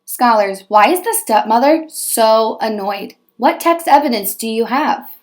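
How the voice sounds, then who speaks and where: Female primary teacher, high voice, conversational read-aloud discussion, repeats key points, questions her pupils. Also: animated, measured pace, darkish tone, reverberant.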